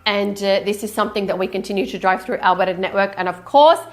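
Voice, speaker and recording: A woman born in Lebanon hosting a wedding industry business talk, speaking in a direct, energetic, coaching way. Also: medium pitch, fast, a little hiss or hum. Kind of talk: solo business podcast talk.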